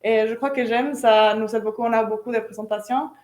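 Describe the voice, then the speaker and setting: A young woman, a business student for whom French is not her first language, answering interview questions and pausing to search for words. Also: on the high side, steady tone, darkish tone. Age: young adult. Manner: searches for words, hesitant non-native phrasing. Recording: echoey room.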